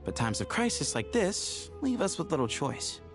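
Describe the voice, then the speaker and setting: A man's voice: a little high, very animated, normal speed, over music. A man playing an earnest, articulate young scholar who explains things in a video game. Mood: reassuring.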